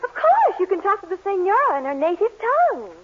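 A woman's voice: extremely high pitch, sing-song, fast. A woman playing a spoiled, gold-digging young society woman, coquettish and insistent, in 1940s radio comedy style. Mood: playfully insistent. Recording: dull, muffled vintage radio recording.

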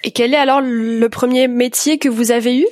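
A woman's voice: a little high, fairly even intonation, normal speed, darkish tone, no echo, clean studio sound. A woman hosting a podcast, introducing her guest and asking polite, formal questions. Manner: polite, formal, starts sentences with "donc". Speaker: podcast host. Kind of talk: interview questions and scripted intro.

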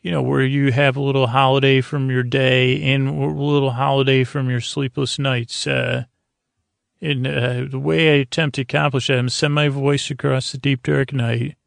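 A man with a creaky voice hosting a bedtime podcast in a soothing, meandering, hesitant monologue full of stammers and tangents. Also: mid-range voice, little pitch movement, normal speed, dry recording, clean studio sound. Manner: soothing, lulling delivery. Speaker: podcast host.

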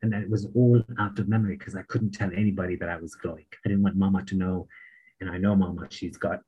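Husky-voiced British man telling stories. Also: low-pitched, fast talker, dull audio. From Palestine. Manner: animated anecdotes, laughs often, acts out voices. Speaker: memoir author guest. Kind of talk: storytelling in an interview.

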